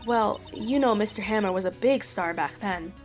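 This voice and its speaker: A woman's voice: medium pitch, moderate pace, soft background music, lightly acted. A woman playing a young female studio assistant in a video game fandub, explaining things in a matter-of-fact, gossipy way. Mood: confiding.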